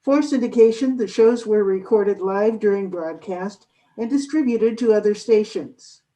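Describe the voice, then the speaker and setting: A woman's voice: medium pitch, a little slow, warm tone. A woman announcer reading a scripted introduction for a radio drama. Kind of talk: scripted narration. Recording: slightly distant video-call microphone.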